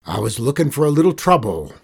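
A man acts out a bullying cowboy gang boss for a Western storybook. The voice is husky and growling, and the delivery is a drawling, folksy bark of command. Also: mid-range voice, big pitch swings, fast, a little mellow, heavily acted. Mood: grumbling, restless. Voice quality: growling, rough.

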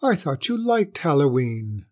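A woman's voice: low voice, big pitch swings, a little slow, a little mellow, heavily acted. A woman voicing an aging mother cat in a storybook, meek and faltering but politely stubborn. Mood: stubborn, pleading.

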